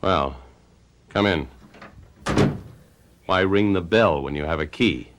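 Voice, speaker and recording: An actor in a radio mystery drama playing a suave, vain stage-star husband with murder in him, speaking in a theatrical drawl. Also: male voice, sits low, takes it slowly. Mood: irritated, evasive. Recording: noisy old radio drama recording.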